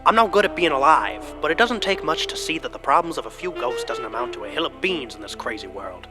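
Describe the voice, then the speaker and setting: A man voicing Gil, an excitable, dramatic male skeleton stage actor in a storybook reading. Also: medium pitch, fast, over music, voice pushed up, lightly acted. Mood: hard-boiled. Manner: gushing, dramatic, slips into hard-boiled tough-guy drawl. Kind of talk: storybook character voice.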